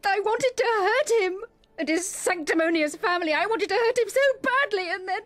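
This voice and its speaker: High-voiced overwrought actress. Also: female voice, animated, moderate pace, fairly bright tone, no room sound, soft background music. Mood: anguished. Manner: intense, emotional. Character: overwrought, obsessive Victorian actress in unrequited love. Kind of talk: radio drama acting.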